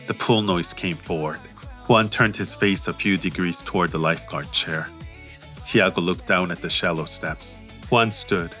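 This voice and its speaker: A man narrating his own audiobook in a measured, understated way. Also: deep voice, sharp tone, soft background music. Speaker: author and narrator.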